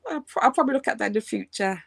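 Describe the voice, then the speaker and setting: A woman's voice: on the high side, animated, very fast, gravelly, no room sound. A woman in her thirties with a Birmingham accent, a fashion designer chatting as an interview guest, laughing often and filling her talk with ums and restarts. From England. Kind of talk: casual interview.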